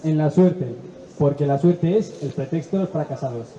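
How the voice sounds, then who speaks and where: A man's voice: fairly high pitch, moderate pace, background noise. A man from Ukraine, reciting a poem earnestly and steadily at a recital. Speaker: adult student reciting poetry. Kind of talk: poetry recital. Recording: echoey hall, dull sound.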